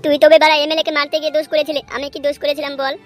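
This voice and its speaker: A woman's voice: high voice, little pitch movement, racing, soft background music, lightly acted. A woman voicing a hot-headed captive male crook in a comedy cartoon, foul-mouthed, aggrieved and pleading. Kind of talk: amateur comedy cartoon dubbing.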